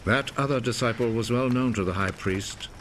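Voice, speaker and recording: A man narrating scripture for a film in a measured, solemn storytelling manner. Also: medium pitch, noisy background. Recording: film score behind the voice. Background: music.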